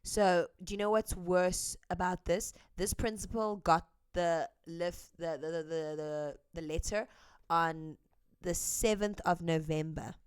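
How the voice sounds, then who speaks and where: A woman's voice: fairly low pitch, fairly even intonation, unhurried, smooth, no echo. A woman host speaking earnestly and candidly, translating a clip with frequent hesitant ums. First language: English. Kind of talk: earnest commentary and live translation.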